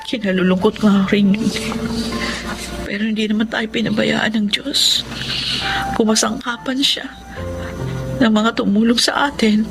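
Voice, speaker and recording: A woman's voice: mid-range voice, lightly acted. A woman acting a devoted, caring, hopeful wife and mother in a radio drama, tender and reassuring.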